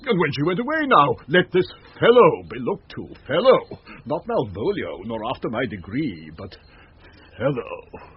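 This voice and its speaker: An actor with an English accent playing a pompous, self-deluded steward in a classic radio Shakespeare play, declaiming in a haughty, affected way. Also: male voice, on the high side, big pitch swings, moderate pace. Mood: gloating. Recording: muffled, noisy 1970s radio recording.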